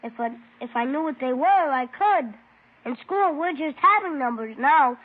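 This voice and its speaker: A woman's voice: sits high, expressive, normal speed. A woman playing an earnest six-year-old boy with an American accent, naive and eager, in an old radio drama. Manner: naive, eager, simple childlike phrasing. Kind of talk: 1950s radio drama acting. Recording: muffled old radio broadcast.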